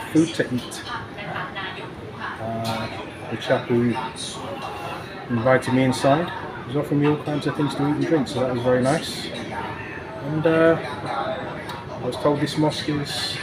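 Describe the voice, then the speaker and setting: A man's voice: mid-range voice, poor audio. A man vlogging as he walks through the city and a park, out of breath and rambling in a chatty way with lots of fillers. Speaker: travel vlogger. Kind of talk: casual walking commentary. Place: outdoors on city streets and a park walkway. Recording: outdoor mic with traffic noise. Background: traffic and city ambience.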